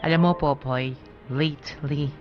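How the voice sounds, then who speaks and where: A woman's voice: low-pitched, steady tone, a little slow, muffled recording, soft background music. A woman co-hosting a radio program, chatting casually and playfully, switching between languages. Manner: playful, conversational, code-switches to English. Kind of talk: casual chat.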